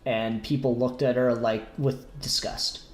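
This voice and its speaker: A man hosting a casual review discussion, speaking haltingly and searching for words as he works through his points. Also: medium pitch, flat delivery. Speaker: podcast host discussing comics. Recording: roomy echo, faint music behind.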